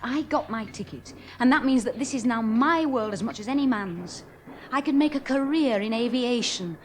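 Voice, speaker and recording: A woman's voice: fairly high pitch, animated, normal speed, a little hiss or hum, lightly acted. An actress in a 1970s radio play, playing an earnest, plain-spoken young woman aviator. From Hull, England.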